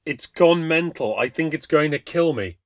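A man's voice: fairly high pitch, normal speed, dry recording, voice pushed up, natural voice. A man voicing a panicked young man haunted by a ghost, breathless and pleading.

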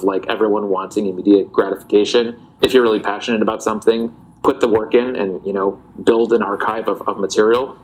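A man, a guest in a casual interview, talking in a relaxed, reflective way with many fillers and restarts. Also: on the low side, low-quality recording. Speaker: guest, parody account writer.

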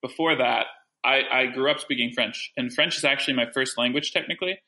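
American man, 30s, gravelly, bright, chatty guest. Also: medium pitch, fairly quick, poor audio. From United States. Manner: relaxed, chatty storytelling. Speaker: translator and guest. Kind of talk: casual interview answers.

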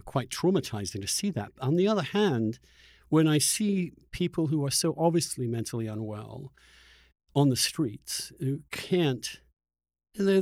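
A man's voice: mid-range voice, creaky, a little mellow, no echo. A male podcast host talks through a thoughtful interview in a mid-range voice, stammering on word starts as he searches for the right term. The sound is dry and close, with very little room to it. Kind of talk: reflective conversational interview.

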